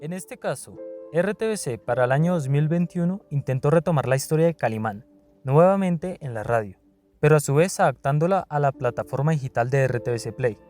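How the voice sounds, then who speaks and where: A man's voice: on the high side, lively intonation, a little fast, darkish tone, faint music behind. A man with a Colombian accent reading scripted narration for a radio documentary.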